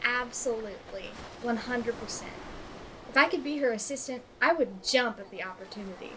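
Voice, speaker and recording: A high-voiced actress as an earnest, loyal woman in an audio drama about a self-help group. She speaks assertively, a bit preachy, with natural delivery. Clear ambient noise sits behind her. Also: moderate pace, natural voice. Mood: indignant, insistent. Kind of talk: naturalistic audio fiction acting.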